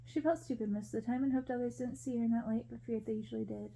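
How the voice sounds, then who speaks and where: Very soft, mellow woman reading a story. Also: on the high side, fairly even intonation, fast talker, vocal fry, slight background noise, rough recording. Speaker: ASMR reader, storyteller.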